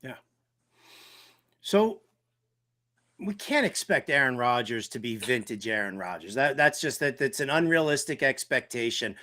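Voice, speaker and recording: A man hosting a casual sports talk interview, emphatic and halting as he builds his questions. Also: mid-range voice, sing-song, pushed and bright.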